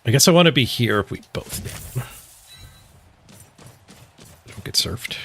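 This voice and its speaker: A man's voice: medium pitch, expressive, brisk, fairly bright tone, slightly rough. A man streaming a video game, giving casual, playful live commentary as he thinks his moves through aloud.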